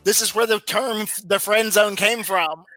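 A man with a high, bright voice co-hosts a podcast in casual conversation. The sound is close and dry as he recaps television. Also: fairly even intonation, normal speed, dry recording, poor audio. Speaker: podcast co-host. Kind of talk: casual chat recapping a TV show.

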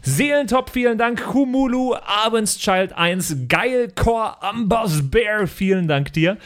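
A man's voice: sits high, slow pace. A man hosting a podcast, chatting casually and reading out thanks with jokey little asides. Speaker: podcast host.

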